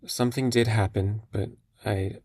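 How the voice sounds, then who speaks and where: Man acting a young terminally ill patient, husky, creaky, hesitant, gently self-deprecating, dry close sound, audio drama. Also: fairly low pitch, fairly even intonation, no room sound, lightly acted. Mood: hesitant, subdued. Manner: hesitant, halting, understated.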